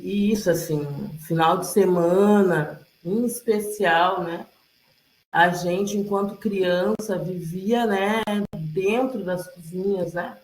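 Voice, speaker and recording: A woman speaks casually, like someone telling stories aloud. She goes slowly and haltingly, often checking in with listeners, in a roomy, echoey video-call sound. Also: medium pitch. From Rio Grande do Sul, Brazil. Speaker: community elder and presenter at a cultural gathering. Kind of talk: casual oral storytelling. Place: online video call, roomy indoor space.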